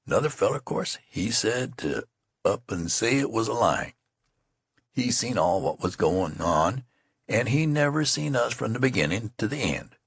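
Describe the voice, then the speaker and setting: A man's voice: fairly low pitch, very animated, moderate pace, creaky, fairly bright tone, slightly rough, no echo, studio quality, pitched above the performer's own voice, full character voice. A man voicing an indignant young soldier who talks in rustic, folksy dialect. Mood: aggrieved.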